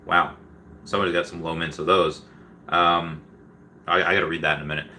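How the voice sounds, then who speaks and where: A man with a low, bright and forceful voice hosts a casual livestream. He talks in a rambling, slangy, stop-start way as he responds to viewers. There is some clear noise in the background of the recording. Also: level tone, brisk. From Peru. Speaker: livestream host. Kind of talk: casual livestream chat, reading viewer comments.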